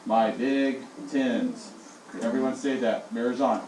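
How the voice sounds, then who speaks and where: A male elementary school teacher leading his class in call-and-response, swinging his voice high and low as he drills them. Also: steady tone, unhurried, a little mellow. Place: classroom, indoors. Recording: echoey classroom from far off, with noise.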